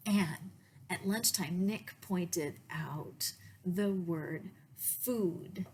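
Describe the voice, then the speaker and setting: Lady doing library storytime, reads really slow with pauses, bit of background noise. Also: fairly low pitch, a little mellow. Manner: slow, deliberate phrasing with pauses. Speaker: children's librarian reading storybooks aloud. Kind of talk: read-aloud storytime with asides to young listeners.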